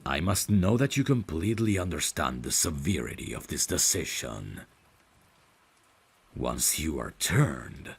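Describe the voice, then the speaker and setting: A man playing a devoted, courteous vampire butler in an intimate ASMR audio roleplay, speaking formally and deliberately in a gravelly, breathy voice. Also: extremely low pitch, heavily acted. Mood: intrigued, solemn. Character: devoted, courteous male vampire butler, tender yet menacing.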